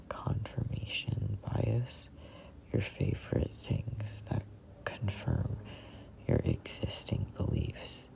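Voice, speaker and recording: A person in their twenties reading a book aloud as a whispered ASMR read-along, in halting, deliberate phrases. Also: sits low, creaky, darkish tone, low-quality recording. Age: 20s. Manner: halting, deliberate phrasing with stumbles over words. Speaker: reader of a self-help book.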